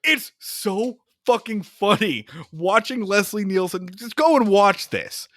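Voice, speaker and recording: Podcast co-host, male, lively comic storyteller who slips into goofy voices; dry, close sound. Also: high-pitched, slow, no echo. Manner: animated, acts out scenes in funny voices, swears. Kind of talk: casual chat recapping a film.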